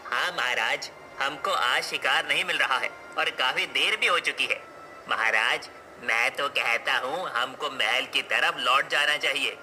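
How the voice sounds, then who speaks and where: A man's voice: mid-range voice, unhurried, pushed and bright, slight background noise, poor audio. A man playing a deferential royal minister in a cartoon folk tale, addressing his king formally and respectfully. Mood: cautious. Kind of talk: cartoon story acting.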